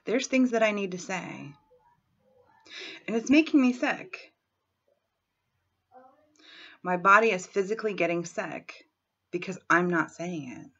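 A woman in her thirties, hoarse from a head cold, talking frankly and clearing her throat as she guides a tapping session at home on her laptop webcam. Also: medium pitch, expressive, moderate pace, a bit bright. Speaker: tapping coach. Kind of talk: self-help vlog with guided tapping. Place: small room at home, indoors. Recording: dull lo-fi webcam sound.

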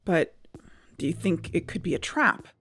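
A woman's voice: fairly low pitch, expressive, normal speed, a bit bright. A woman playing an eager, determined amateur investigator in an audio drama, with a persuasive, urgent manner. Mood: puzzled, suspicious. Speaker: investigator and podcast co-host.

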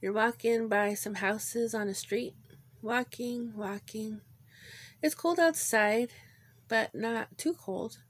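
Slow-paced woman guiding a meditation. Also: a little high. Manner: gentle, unhurried, pausing between short phrases. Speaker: guided meditation leader. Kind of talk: guided meditation, visualization narration.

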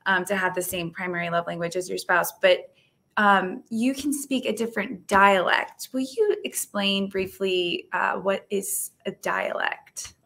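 A woman's voice: mid-range voice, a little slow, vocal fry, a bit bright. A young woman co-hosting a video call interview, asking questions with many ums and restarts. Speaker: co-host interviewer. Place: home room.

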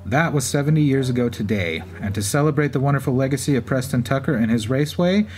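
A man's voice: mid-range voice, lively intonation, fast talker, darkish tone. A man hosting a podcast, reading scripted narration in turns. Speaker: podcast host. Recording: clear background ambience noise. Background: racetrack event ambience.

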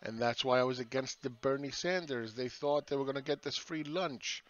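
A man's voice: medium pitch, normal speed, highs cut off. A man hosting his own channel, ranting sarcastically over charts and firing rhetorical questions at critics.

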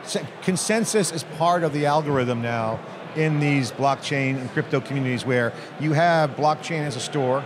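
A man's voice: on the high side, normal speed, noisy background. A middle-aged American man hosting an interview in a hotel hall at a conference, talking energetically and emphatically into a handheld mic. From United States. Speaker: interview host. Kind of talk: conversational interview. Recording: busy crowd chatter behind.

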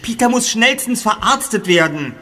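An actor playing a sharp-minded young detective who reasons calmly and often drops to a hushed voice, in a radio play. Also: male voice, high voice, moderate pace, full character voice. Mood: decisive.

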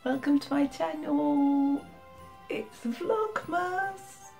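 A woman with a high voice vlogs at home, talking slowly to her little children. Her delivery swings up and down in an animated, sing-song way, with some music in the background. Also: vocal fry. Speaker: vlogger and mother. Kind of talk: casual home vlog chat, talking to young children. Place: indoors at home, kitchen and bedroom.

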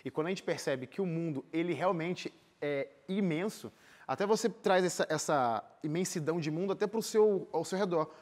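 A man's voice: on the high side, normal speed, darkish tone. A young man with a Brazilian accent telling personal stories informally and animatedly as an interview guest in a TV studio. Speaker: interview guest, singer and missionary volunteer. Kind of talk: casual personal storytelling in an interview. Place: TV studio, seated.

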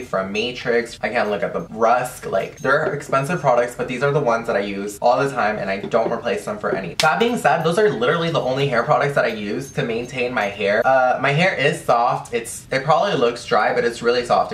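A man's voice: mid-range voice, fairly bright tone, reverberant, recorded from a distance. A young man from Texas chatting casually through a hair-care tutorial in his bedroom, gushing and saying "like" often, filmed on a camera a short way off. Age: young adult. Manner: chatty, gushing, heavy use of "like". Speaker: beauty vlogger. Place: bedroom, indoors.

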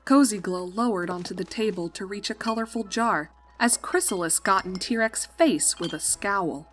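A woman's voice: mid-range voice, moderate pace, a bit bright. A woman reading scripted fan-fiction story narration as the narrator. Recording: music under the voice.

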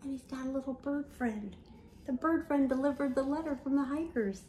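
A woman's voice: high voice, fairly quick, reverberant, slight background noise. An older woman reading a picture book aloud to young children, with gasps and whispers, recorded at home in front of a camera. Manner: animated storytelling with gasps and whispers. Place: home room, indoors.

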